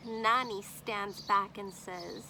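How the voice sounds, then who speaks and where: A woman teacher reading a picture book aloud to children expressively, pausing to think aloud and pose questions. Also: medium pitch, fairly even intonation, bright, forceful tone, a little hiss or hum.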